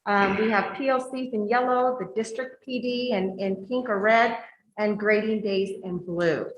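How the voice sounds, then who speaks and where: A woman's voice: mid-range voice, steady tone, a little slow, lo-fi sound, a little hiss or hum. A woman, a school district director, presenting to a board meeting, reading her slides aloud in a big voice with frequent fillers. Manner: says she has a big voice, reads slides aloud with fillers. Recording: echoey meeting room.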